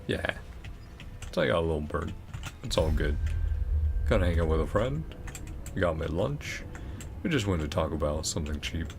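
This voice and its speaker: A man talking as a streamer in relaxed, chatty asides. His voice has a creaky, gravelly edge and moves freely in pitch, with some noise behind it. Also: normal speed, long pauses. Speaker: streamer reading game dialogue aloud. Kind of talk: casual stream chat.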